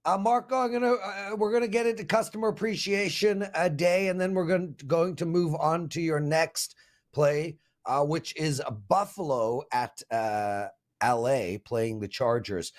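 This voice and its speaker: A man from South Africa with a South African accent, hosting a sports betting show in casual, lively chat. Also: a little high, normal speed.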